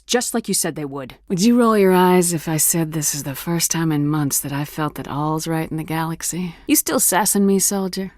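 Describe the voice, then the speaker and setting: A tough female starship commander, played by a woman for a science fiction game. Her voice sits on the low side and is recorded close and dry. She speaks in a firm, clipped, authoritative way with a wry, resolute edge. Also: no echo, natural voice. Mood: emphatic, wry. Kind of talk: video game voice acting, dramatic dialogue.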